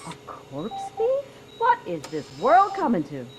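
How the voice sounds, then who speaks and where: An actress playing a brisk, firm hospital nurse in a video game. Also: female voice, high-pitched, wildly swooping pitch, noisy background, heavily acted. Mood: dismayed. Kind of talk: video game cutscene acting.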